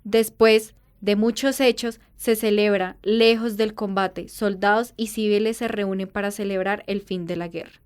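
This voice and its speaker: Female wartime newsreader played by a woman: crisp, smooth voice, formal and measured, solemn radio-drama bulletin delivery. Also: mid-range voice, fairly even intonation, natural voice. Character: solemn female radio news reporter announcing war's end from Paris. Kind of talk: scripted radio news narration.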